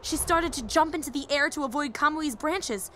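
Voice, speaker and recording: A woman's voice: high voice, normal speed, sharp tone, slight background noise. A woman voicing a young, nerdy female hero fan and teacher in an audio roleplay, eager, rambling and easily flustered. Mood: fascinated, analytical.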